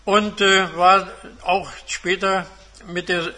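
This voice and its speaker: A man of seventy-five giving a measured, formal autobiographical talk to a seminar as a guest speaker. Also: high voice, flat delivery, pushed and bright. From Germany. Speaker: guest speaker, retired engineer and academic. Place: seminar room.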